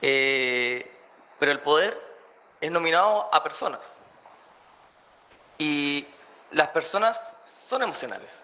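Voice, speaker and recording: A man, a student, asking a question in class haltingly, with many fillers. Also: fairly high pitch, lively intonation, bright, forceful tone.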